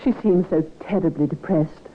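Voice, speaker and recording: An actress playing a devoted, anxious nurse with a polite, formal manner in an old radio drama. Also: female voice, on the low side, moderate pace, natural voice. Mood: troubled. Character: anxious, devoted woman nurse, proper and easily offended. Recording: tinny, lightly noisy old radio recording.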